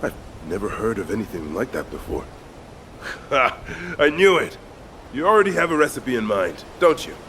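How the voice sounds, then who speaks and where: A man's voice: mid-range voice, sing-song, normal speed, fairly bright tone, a little hiss or hum. A man voicing a persuasive, teasing comrade in a video game, with a coaxing, knowing delivery. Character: persuasive, teasing male comrade giving missions.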